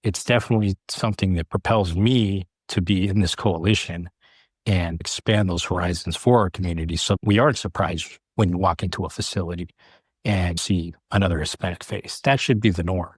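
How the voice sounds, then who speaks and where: Guy late 30s, low voice, talking about his working life in an interview, earnest, super dry close sound. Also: steady tone, a little fast, husky, no echo. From California, United States. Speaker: air traffic controller, podcast guest. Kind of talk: interview storytelling, personal career account.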